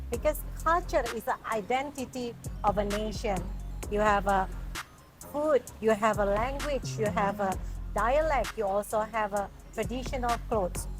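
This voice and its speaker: A young woman with an Indonesian accent, a language specialist who gives plain answers with everyday examples as a guest in an interview. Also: on the high side. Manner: explains plainly with examples, often adds "right?". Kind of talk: casual interview.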